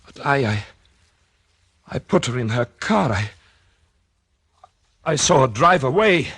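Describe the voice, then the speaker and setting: An American actor playing a calculating, murderous doctor in an intense, deliberate first-person narration for a 1940s radio suspense drama. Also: male voice, mid-range voice, very animated, a bit bright, husky, no room sound, exaggerated voice. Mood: stunned, desperate. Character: calculating murderous husband, a doctor, smug then horrified. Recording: 1940s radio recording with music behind.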